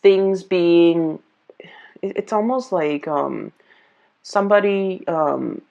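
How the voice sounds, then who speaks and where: Woman, lowish voice, tarot reader, casual improvised delivery, halting and repetitive phrasing, slightly dull lo-fi recording. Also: expressive, creaky, dark tone. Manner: halting, searching for words with repeats. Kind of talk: casual, improvised tarot reading addressed to viewers.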